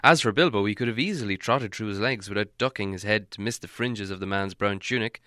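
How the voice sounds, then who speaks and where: A man's voice: lowish voice, brisk, sharp tone, no echo, clean studio sound. A man reading a fantasy storybook aloud as scripted audiobook narration. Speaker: audiobook reader.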